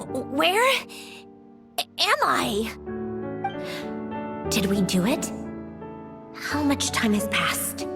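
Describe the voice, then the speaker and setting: Young female automaton, haughty, sardonic, high swooping pitch, game character, music behind. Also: full character voice. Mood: grim, disoriented. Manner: imperious, sardonic, sighing. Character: haughty, prickly female mechanical genius, young-sounding. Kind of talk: video game character acting.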